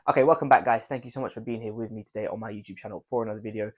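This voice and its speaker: A young man with a croaky voice from a cold, chatting casually to his viewers about fantasy football picks and rambling through his reasoning. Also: fairly low pitch, fairly even intonation, racing, loud music behind the voice. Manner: rambling, repeats words, hedges with "I think". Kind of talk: casual video commentary.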